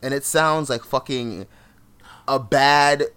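A man's voice: mid-range voice, steady tone, slow, a bit bright, clear, resonant tone. A man from Jamaica co-hosting a podcast, chatting casually in an emphatic way and laughing often. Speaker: podcast co-host. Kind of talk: casual chat.

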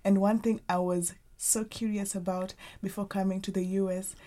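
Flat, mellow-voiced woman from Kenya. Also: mid-range voice, normal speed. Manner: formal, careful introduction. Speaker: community college student.

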